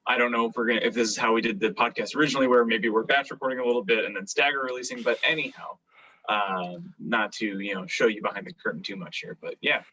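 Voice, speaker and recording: A male podcast co-host with a bright, forceful voice, captured a little dull and lo-fi. He chats casually in a dry, sardonic, rambling way. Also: mid-range voice, fast, husky, far from the mic. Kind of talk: casual comic banter. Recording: dull, lo-fi microphone sound.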